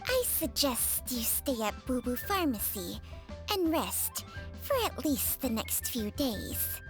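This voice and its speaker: A little snake companion, childlike high pitch, animated, bossy and protective, game dialogue over music. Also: female voice, exaggerated voice. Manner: haughty, scolding, drawn-out phrasing. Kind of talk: video game voice acting.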